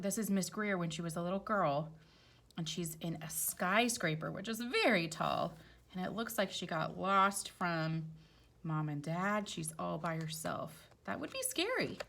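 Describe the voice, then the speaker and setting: A woman's voice: on the low side, vocal fry, a bit bright. A woman teacher reading a children's storybook aloud expressively, with chatty explanatory asides for young listeners.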